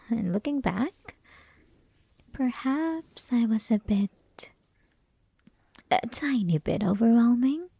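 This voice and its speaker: Yandere student played by a young woman: teasing, coy, sweetly possessive, slow breathy delivery with some vocal fry, intimate ASMR roleplay. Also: a little high, expressive, dry recording, full character voice. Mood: coy, confessional. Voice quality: soft, breathy, often whispering. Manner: teasing, coy, giggly, lingering pauses. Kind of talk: ASMR roleplay, intimate one-sided conversation. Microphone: close ASMR microphone, dry.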